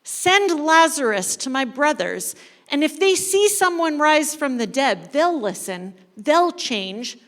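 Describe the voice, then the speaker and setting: A woman's voice: high-pitched, sing-song, slow, fairly bright tone, pitched above the performer's own voice, heavily acted. A woman playing a desperate, self-pitying rich man who pleads and feels entitled, in a dramatic parable reading. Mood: excited pleading. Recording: echoey large hall.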